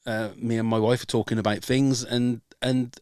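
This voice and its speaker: A man's voice: mid-range voice, little pitch movement, normal speed, a little mellow, clear, resonant tone, no echo, studio quality. An older man hosting a podcast, talking hesitantly and openly about himself, with many ums and restarts. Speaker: podcast host. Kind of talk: casual chat.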